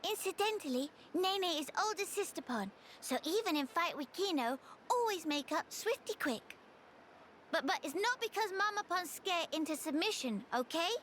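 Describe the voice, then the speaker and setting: A very high, swooping voice of a cute little creature girl for a video game. She is excitable and bossy, talks slowly in mangled third-person baby talk, with some ambient noise behind her. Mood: chirpy, scolding. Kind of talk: video game character acting.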